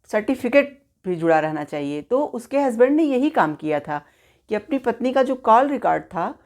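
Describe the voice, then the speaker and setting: A woman's voice: a little high, sing-song, normal speed, darkish tone. A woman gives an explanatory legal lecture in informal spoken language, teaching in a didactic way, often posing questions and answering them. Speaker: law teacher presenting an online lesson.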